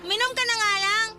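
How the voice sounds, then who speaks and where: A very high-pitched scolding Filipina cousin. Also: female voice, pushed and bright, exaggerated voice. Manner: scolding, exclamatory, colloquial. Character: down-to-earth, scolding young Filipina cousin, practical and exasperated. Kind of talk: radio drama acting.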